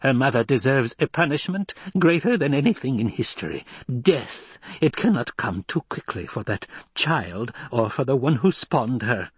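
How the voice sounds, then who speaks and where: A man's voice: medium pitch, lively intonation, normal speed, few pauses, husky, no echo, voice pushed up. A man voicing a severe, venomous old woman seer in an audiobook, with a stern, condemning manner. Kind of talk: dramatic audiobook character voice.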